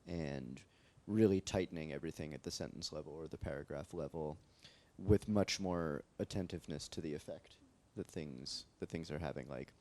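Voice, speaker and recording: A man with an American accent and a deep, low-set voice talks through his work at a panel in a library. He rambles easily and fills his sentences with "like" and "um". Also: moderate pace, few pauses. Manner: relaxed, rambling, many fillers and "like". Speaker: translator on a panel. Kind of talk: casual panel discussion. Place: library event space with live audience.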